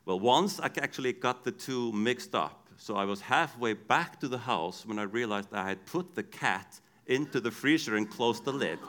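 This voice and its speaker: A man's voice: mid-range voice, sharp tone. Icelandic-accented man preaching a sermon. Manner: storytelling, conversational, frequent restarts.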